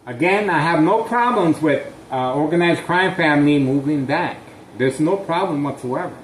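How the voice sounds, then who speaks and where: Male vlogger, slightly high voice, halting and suspicious delivery, echoey phone audio. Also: expressive, slight background noise. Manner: halting, many fillers, intense and suspicious. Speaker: vlogger filming his street. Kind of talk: slow narrated street surveillance vlog.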